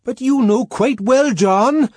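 A man voicing a desperate small man who shouts, wheedles and wails through a door in an audiobook story. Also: very high voice, takes it slowly, husky, no echo, clean studio sound, pitched above the performer's own voice, heavily acted. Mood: loud pleading.

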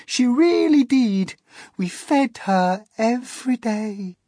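A woman's voice: fairly high pitch, breathy edge, no echo, clean studio sound, voice pushed up, full character voice. A woman voicing a genteel, mournful female spider who tells her sad tale dramatically. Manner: dramatic, tearful storytelling.

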